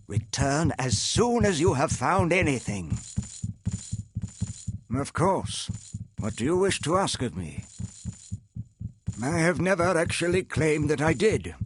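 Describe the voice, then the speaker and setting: A man's voice: mid-range voice, breathy edge. A man playing a wealthy older industrialist in a video game, proud yet haunted, speaking formally and articulately with a wistful storyteller's manner. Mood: courteous, assured. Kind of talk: scripted video game dialogue.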